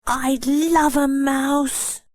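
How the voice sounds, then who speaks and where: A woman's voice: high-pitched, steady tone, very slow, a little mellow. A woman voicing an eager young boy in a children's storybook, with a childlike way of speaking.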